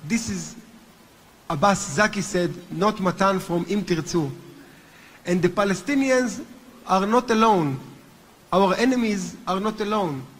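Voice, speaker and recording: A man with a thick Hebrew accent speaks earnestly and deliberately in a fairly high, slightly husky voice. He is addressing a conference as a movement chairman. His words ring out with a long reverb in a large hall. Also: fairly bright tone. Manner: earnest, deliberate, with occasional restarts. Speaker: movement chairman giving a speech. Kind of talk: formal conference speech.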